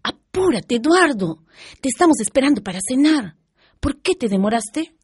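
Proud Peruvian mother, industrious shopkeeper, affectionate but brisk, radio soap, fairly high voice. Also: sing-song, slightly rough, heavily acted. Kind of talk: educational radio soap acting.

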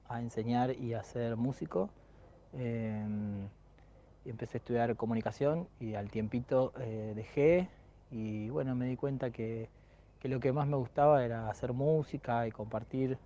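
A man, a music teacher calmly answering interview questions and often repeating words as he thinks. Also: medium pitch, highs cut off, slight background noise. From Argentina. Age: 30s.

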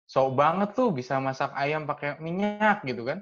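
A man's voice: on the high side, lively intonation, normal speed, fairly bright tone. A young man hosting a casual podcast chat, laughing often and asking probing questions. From Indonesia. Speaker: podcast host. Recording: dull lo-fi recording.

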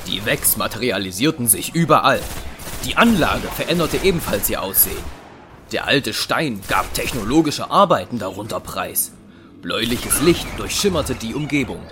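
A man's voice: mid-range voice, lively intonation, moderate pace, noisy background. A man narrating a scripted sci-fi audio drama as its storyteller. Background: music.

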